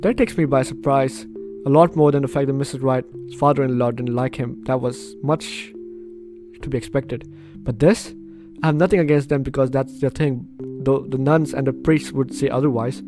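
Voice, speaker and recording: A man's voice: medium pitch, steady tone, moderate pace. A man doing playthrough commentary, reading game text dramatically and breaking off into reactive asides. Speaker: gaming YouTuber. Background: game music.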